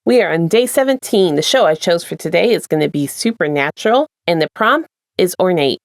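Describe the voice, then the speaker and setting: Woman reading her fanfic, lowish voice, casual storyteller, really dry close recording. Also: animated, a little fast, no echo. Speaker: podfic creator and narrator. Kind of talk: casual intro and scripted story narration.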